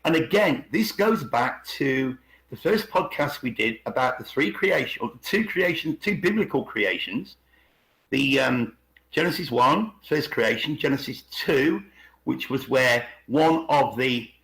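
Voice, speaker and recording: Male podcast host, medium pitch, halting and repetitive, rambling talk, echoey call sound. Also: expressive, a bit bright. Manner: halting, repeats words, asks for agreement. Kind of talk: rambling conspiracy talk with reading aloud. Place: online video call. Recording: roomy, echoey video call.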